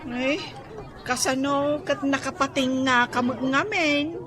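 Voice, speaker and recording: An actress playing a plaintive, apologetic, easily upset neighbour in a comic Ilocano radio drama, with a lamenting delivery. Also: female voice, high-pitched, takes it slowly, fairly bright tone.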